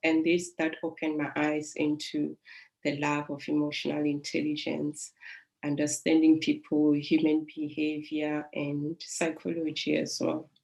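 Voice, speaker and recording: South African woman, middle-aged, low and gravelly, flat even delivery, soothing and reflective. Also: poor audio. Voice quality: calm, soothing voice. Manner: reflective, unhurried, with soft laughs. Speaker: life coach. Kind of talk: personal storytelling.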